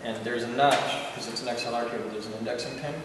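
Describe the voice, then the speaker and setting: A man's voice: mid-range voice, steady tone, quick pace. A man giving a casual, step-by-step hands-on demonstration in an informal, joking way. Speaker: instructor, video host. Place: indoor room. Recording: very echoey room, distant mic, noisy.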